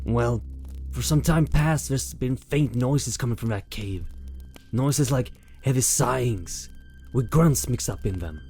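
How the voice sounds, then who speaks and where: A man's voice: mid-range voice, unhurried, darkish tone, breathy edge, faint music behind. Man playing a nervous rustic shepherd father. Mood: uneasy, hushed. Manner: rambling, folksy country speech. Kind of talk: amateur audiobook drama acting.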